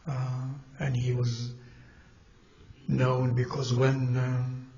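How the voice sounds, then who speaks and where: A man gives an Islamic lecture to a congregation in a mosque hall. His delivery is measured and halting, with pauses, restarts and questions put to the room. The recording is slightly dull with a faint hiss behind his voice. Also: mid-range voice, monotone, warm tone. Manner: asks the audience questions as he teaches. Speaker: mosque teacher.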